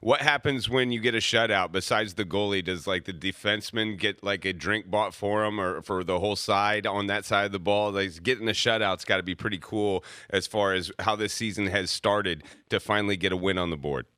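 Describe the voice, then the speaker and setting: A man's voice: fairly low pitch, fairly quick, few pauses, sharp tone, clean tone, dry recording, clean studio sound. A male radio host asking loose, chatty questions on a sports talk show.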